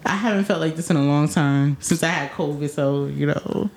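A congested woman hosts a casual podcast chat, laughing often and talking in slang. Her voice sits fairly low. The room adds a noticeable echo. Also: normal speed, darkish tone, slight background noise. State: congested from a cold. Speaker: podcast host.

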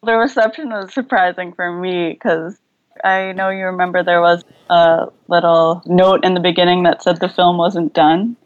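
A woman's voice: fairly low pitch, fairly even intonation, moderate pace, lo-fi sound. A young woman filmmaker, speaking thoughtfully over a video call and searching for her words with fillers and restarts. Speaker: filmmaker guest. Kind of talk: relaxed video-call interview.

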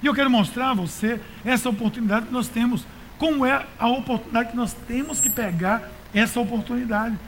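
A man's voice: extremely high pitch, a little fast, few pauses, a bit bright, a little hiss or hum, low-quality recording. A man with a Brazilian accent preaching as a pastor in a colloquial, exhorting way, repeating phrases for emphasis. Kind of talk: conversational sermon to a congregation.

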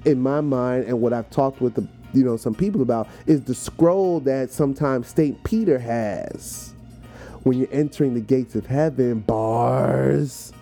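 Mellow American man, motivational podcast host. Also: mid-range voice. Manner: emphatic, repeats phrases for effect; conversational, informal. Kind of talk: motivational talk explaining a poem.

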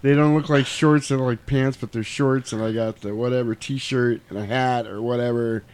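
A man in his forties chatting casually as a podcast host, swearing freely and telling stories in an animated, informal way. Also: medium pitch, moderate pace, noisy background. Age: 40s. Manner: informal, swearing, animated storytelling with acted quotes.

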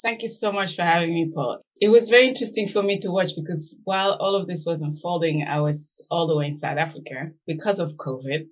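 A woman's voice: lowish voice, fast talker, hardly any pauses, low-quality recording. A middle-aged woman from Belgium, an academic guest speaking reflectively and anecdotally in an interview. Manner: reflective, anecdotal, checks in with "right?".

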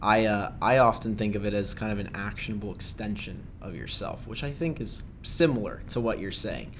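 A man interviewing a guest, asking long, thoughtful questions with some halting restarts. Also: lowish voice, background noise.

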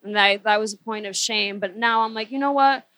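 A woman's voice: medium pitch, a little fast, a bit bright, dry recording. A woman who grew up speaking Spanish, talking casually and candidly about her own experience as a panelist. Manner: candid, self-reflective.

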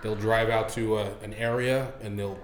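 A man co-hosting a podcast, chatty and jokey in a lively way. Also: on the low side, normal speed, a little hiss or hum. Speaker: podcast co-host. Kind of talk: casual chat.

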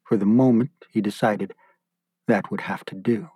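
Husky male narrator in a roomy space. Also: on the low side, steady tone, normal speed, warm tone, studio quality. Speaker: author and narrator. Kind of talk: scripted horror story narration. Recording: roomy echo, faint music behind.

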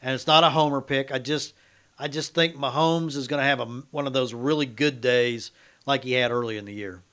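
A man's voice: medium pitch, steady tone, brisk, a bit bright, smooth. An American man co-hosting casual sports talk radio, blunt and opinionated.

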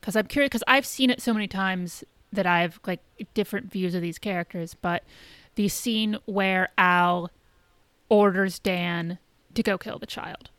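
A woman's voice: medium pitch, measured pace, fairly bright tone, smooth, no room sound. A woman co-hosting a casual podcast discussion, explaining things and rephrasing as she goes. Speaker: podcast co-host.

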